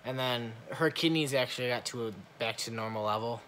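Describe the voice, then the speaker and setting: Young male vlogger, casual storytime chat, average pitch, faint background noise. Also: brisk, a bit bright. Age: young adult. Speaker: vlogger, new father.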